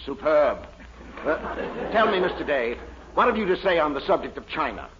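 An actor playing an earnest, awestruck newspaper reporter in a 1940s radio comedy, recorded with an audience on muffled, noisy equipment. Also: male voice, on the high side, very animated, moderate pace. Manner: deferential, admiring.

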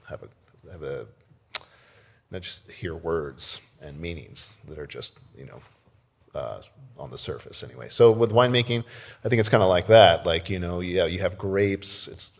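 A man from South Texas speaking casually as an interview guest, easygoing and joking, feeling his way through his answers. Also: low voice. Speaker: podcast guest, winemaker.

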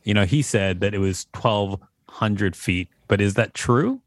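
A man's voice: fairly low pitch, unhurried, dry recording, studio quality. A man co-hosting a podcast with casual, conversational commentary. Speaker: podcast co-host. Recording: music behind the voice. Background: music.

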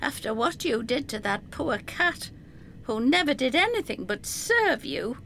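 A woman voicing a determined young woman who talks crisply and resolutely to her father. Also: high-pitched, big pitch swings, a little slow, fairly bright tone, a little hiss or hum, pitched above the performer's own voice, full character voice. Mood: firm.

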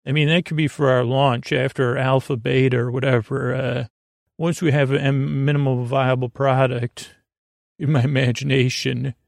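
A man hosting a sleep podcast with a rambling, improvised monologue, halting and meandering through tangents with whimsical, gently silly wordplay. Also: mid-range voice, level tone, creaky, no room sound, studio quality. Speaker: host of a bedtime sleep podcast.

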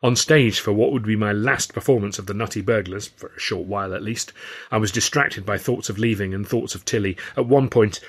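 A man's voice: lowish voice, gravelly. A man reading his own novel aloud as a scripted, first-person audiobook narration.

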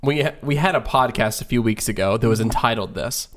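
A man's voice: mid-range voice, fairly quick. A man co-hosting a casual sports podcast, chatting in an easygoing, laid-back way. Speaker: podcast co-host.

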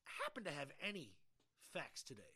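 A man's voice: fairly high pitch, huge pitch swings, normal speed, sharp tone, breathy edge. A man hosting a podcast in casual, colloquial, slangy chat. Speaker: podcast host.

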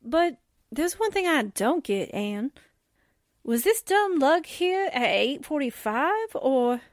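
A woman's voice: high-pitched, sing-song, slow, dry recording, studio quality, heavily acted. A woman playing a pert, slangy newspaperwoman sidekick in 1940s radio drama style. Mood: breezy, curious.

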